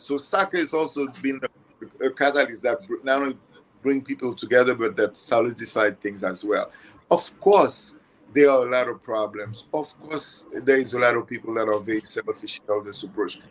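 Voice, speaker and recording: A man's voice: medium pitch, moderate pace, a little hiss or hum, poor audio. Older haitian guy telling stories in conversation, leans on words and repeats them for emphasis. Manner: emphatic, repeats phrases for effect. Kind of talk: conversational storytelling.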